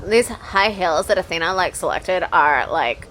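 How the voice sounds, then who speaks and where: A woman's voice: on the low side, expressive, measured pace. An actress playing a snooty, bored, vapid young valley-girl type in a comedic audio drama. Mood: bored, disdainful. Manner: vapid, haughty, hesitant "like" filler. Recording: clear background noise and ambience.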